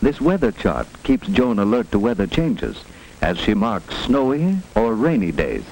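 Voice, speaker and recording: Male narrator, lowish and animated, teaching children simply, 1940s school film with faint noise. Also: unhurried, gravelly. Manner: instructive, simple phrasing for children. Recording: noisy 1940s film soundtrack with hiss.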